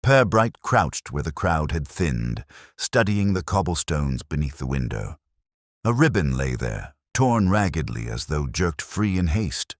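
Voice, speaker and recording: Very deep-voiced male narrator. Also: sing-song, a little slow, no echo, studio quality. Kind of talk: scripted mystery story narration.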